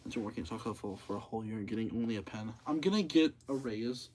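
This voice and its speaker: A seventeen-year-old young man chatting casually on a livestream, speaking in a monotonous voice and rambling with lots of fillers and repeats. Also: on the low side, fast talker.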